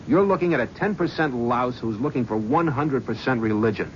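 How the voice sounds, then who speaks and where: An actor playing a remorseful middle-aged talent agent in a 1970s radio drama, earnest and emotional. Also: male voice, medium pitch, lively intonation, fairly quick, natural voice. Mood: resolute. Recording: muffled old radio recording with hiss.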